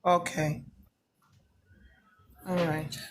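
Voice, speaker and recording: A woman from Liberia calling into a livestream, telling her story in a halting way and repeating phrases. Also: deep voice, level tone. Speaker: caller on a livestream. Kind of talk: personal testimony, casual chat.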